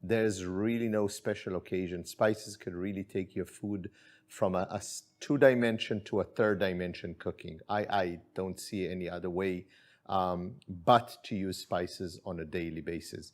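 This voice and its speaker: Man, middle-aged, lower voice, friendly chef talk, home kitchen. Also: normal speed. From Israel. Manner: relaxed, friendly, with many fillers. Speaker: chef and spice company owner. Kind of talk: cooking demonstration.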